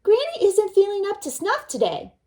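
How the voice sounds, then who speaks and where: A woman doing a high, coaxing storybook mother. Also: huge pitch swings, moderate pace, dark tone, exaggerated voice. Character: caring mother sending her daughter off.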